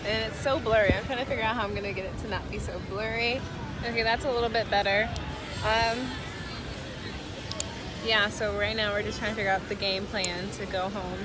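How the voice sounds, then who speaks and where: A woman in her twenties, vlogging casually to her viewers outdoors at night on a handheld phone, chatty and excitable. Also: medium pitch, a little fast. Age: 20s. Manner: chatty, excitable, often laughing. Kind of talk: casual travel vlog talk to viewers. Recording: loud music and crowd noise behind.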